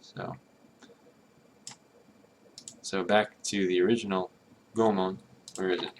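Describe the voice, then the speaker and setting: A man's voice: sits low, little pitch movement, takes it slowly, noisy background. A man streaming himself as he works through a game's text, thinking aloud and trying readings in a tentative, self-correcting way. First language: English. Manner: musing, tentative, mutters Japanese readings to himself. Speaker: streamer translating a game. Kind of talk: casual thinking-aloud commentary.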